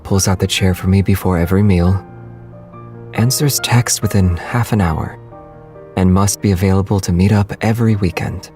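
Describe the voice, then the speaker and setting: A low-pitched young romantic lead from a game, played by a man. He is gentle and quietly confident, with dry, understated teasing. Music plays behind him. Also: steady tone, normal speed, close to an everyday voice. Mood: teasing curiosity.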